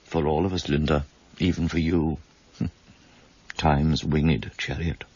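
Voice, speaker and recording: Old professor, super low husky voice, flattering n literary, radio play, bit muffled. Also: male voice, slow pace, no room sound, heavily acted. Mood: wistful, courtly. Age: older. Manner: pompous, literary, hesitant when uneasy. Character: flattering older Oxford don, courtly and insinuating. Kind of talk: radio drama acting. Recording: dull, slightly muffled radio recording.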